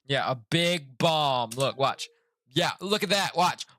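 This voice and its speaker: Slow-talking, bright-voiced male streamer. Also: a little high, lively intonation, no room sound. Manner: laid-back, joking, slangy. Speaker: live streamer. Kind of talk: casual gaming commentary.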